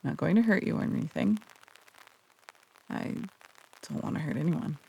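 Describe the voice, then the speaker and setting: Someone doing a flirty phantom thief for roleplay audio, super creaky n breathy, teasing, coy, bouncy pitch. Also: sing-song, moderate pace, a little mellow, slight background noise, rough recording. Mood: playful. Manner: teasing, coy, casual with hesitant turns. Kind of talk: roleplay audio drama, intimate one-sided dialogue.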